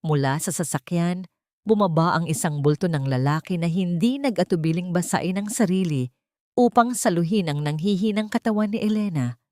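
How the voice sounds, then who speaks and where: A woman's voice: lowish voice, moderate pace, a little mellow, dry recording, clean studio sound. A woman narrating a dramatic scripted love story.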